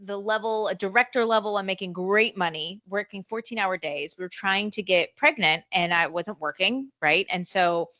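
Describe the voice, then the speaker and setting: A woman in her forties, telling her story as a podcast guest in a chatty, animated way. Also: mid-range voice, moderate pace, few pauses, no echo. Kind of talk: casual storytelling interview.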